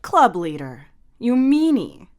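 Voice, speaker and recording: A woman's voice: a little high, big pitch swings, slow, darkish tone, voice pushed up. A woman voicing a confident, friendly teenage boy in an audio story, with a casual, teasing manner. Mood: cheeky.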